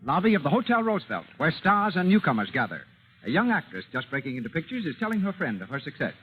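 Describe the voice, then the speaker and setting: A man's voice: fairly high pitch, very animated, quick pace. A man narrating a scripted scene-setting radio spot in 1930s style. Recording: tinny vintage radio recording with music.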